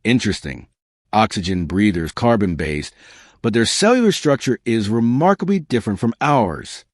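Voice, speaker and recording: Man reading as curious, assured woman scientist, expressive. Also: lowish voice, normal speed, no room sound, clean studio sound. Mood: fascinated. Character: focused female scientist aged 36, curious, assured. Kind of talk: audiobook character dialogue.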